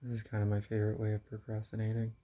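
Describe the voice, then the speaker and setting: A young man talking quietly into his camera at home in a confessional vlog, halting and subdued, with frequent sighs. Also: lowish voice, completely flat delivery. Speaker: vlogger. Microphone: camera mic. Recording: dull lo-fi camera audio.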